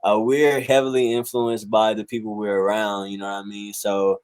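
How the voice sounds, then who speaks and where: A man in his twenties from South Carolina, a musician talking casually in an interview, relaxed and full of fillers. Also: on the low side, moderate pace, clean tone, no room sound. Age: 20s. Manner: laid-back, many fillers like "um", "like" and "bro". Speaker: musician guest.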